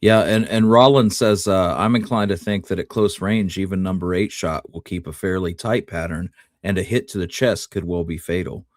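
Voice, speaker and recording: A man's voice: low voice, moderate pace, dry recording, studio quality. A man co-hosting a podcast, discussing history in an informal, conversational manner. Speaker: podcast co-host.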